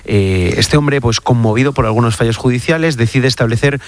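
A man interviewing in a conversational style, speaking in measured phrases with hesitant pauses. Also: medium pitch, dry recording.

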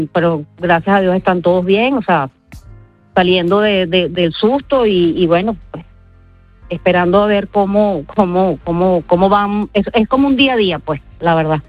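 A woman with a Venezuelan accent gives informal interview answers, halting and repeating words as she goes. The sound is dull and close, with no room echo, and faint music sits underneath. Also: mid-range voice. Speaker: interview guest. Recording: dull, band-limited radio-like sound.

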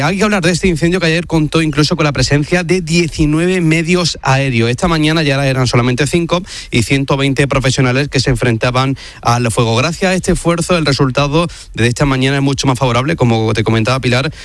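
A man's voice: medium pitch, a little fast, a bit bright, dry recording. A man reporting for radio news, conversational with frequent hesitations. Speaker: field reporter.

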